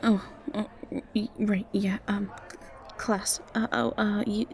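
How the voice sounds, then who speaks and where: A woman's voice: medium pitch, flat delivery, slow, a little mellow. A woman playing a shy, sweet, anxious young academy student in an audio roleplay, stammering nervously and apologizing as she goes. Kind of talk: audio roleplay acting, addressed to the listener.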